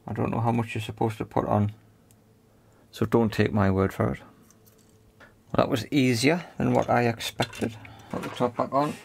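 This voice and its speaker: A man's voice: medium pitch, steady tone, moderate pace, gravelly, long pauses, husky. A man giving hands-on repair commentary, thinking aloud in a tentative way as he works. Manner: tentative, talks to himself while working. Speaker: repair video presenter.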